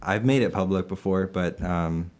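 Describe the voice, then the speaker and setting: A man in his twenties in a casual interview, answering in halting phrases with many fillers and restarts, laughing now and then. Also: sits low, darkish tone, clear, resonant tone. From Illinois, USA. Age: 20s. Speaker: interviewed staff member.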